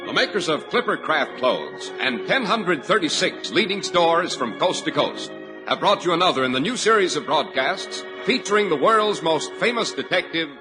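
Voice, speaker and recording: A man working as a radio announcer, with a smooth, formal delivery. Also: on the high side, sing-song, moderate pace, low-quality recording. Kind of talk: 1940s radio announcing and commercial. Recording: old radio broadcast with music behind.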